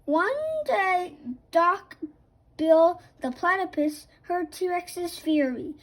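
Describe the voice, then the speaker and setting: A woman reading a picture book aloud for children, in a slightly halting way. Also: very high voice. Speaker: children's story reader. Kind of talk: scripted storybook narration for children.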